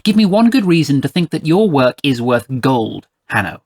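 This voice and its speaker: A man's voice: fairly high pitch, big pitch swings, moderate pace, darkish tone. A man voicing a haughty, stingy queen with an imperious, clipped way of bargaining, in a storybook reading. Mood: haughty, dismissive. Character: haughty, stingy, commanding queen, mother of a child.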